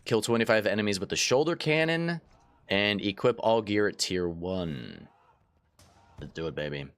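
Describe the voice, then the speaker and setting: A man's voice: on the low side, very animated, moderate pace, a bit bright, clean tone, no room sound. A man hosting a let's play, casually chatting to viewers with a slightly stuffy nose. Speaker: gaming channel host. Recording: faint game music behind.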